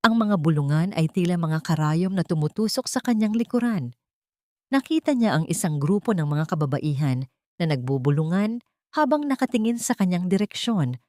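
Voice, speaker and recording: A woman's voice: on the low side, sing-song, moderate pace, mellow, no echo, studio quality. A woman narrating a scripted dramatic story in a solemn, emotive manner.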